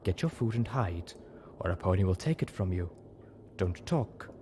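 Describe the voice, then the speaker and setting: A scarred zebra mare voiced by a man from a fantasy audiobook, weary and haunted after slavery. The voice is low, heavy and grave, in short halting phrases, with faint noise on the recording. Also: expressive, dark tone. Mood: grim, hushed.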